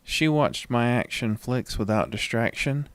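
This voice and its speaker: A man narrating a scripted first-person horror story as a dramatic, measured storyteller. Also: medium pitch, smooth, no room sound.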